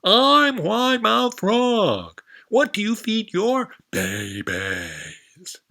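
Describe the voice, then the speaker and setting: A man voicing a loud, boastful and rude female frog from a storybook, drawing out his words slowly. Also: sits high, wildly swooping pitch, vocal fry, pitched above the performer's own voice, exaggerated voice. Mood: haughty. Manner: slow, drawn-out, shouted words. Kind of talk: storybook character voice.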